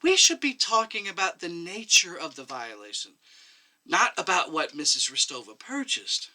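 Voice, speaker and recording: A man's voice: a little high, big pitch swings, normal speed, bright, forceful tone, voice pushed up, heavily acted. A man voicing a stern elderly male chairman in a story, firm and official in manner.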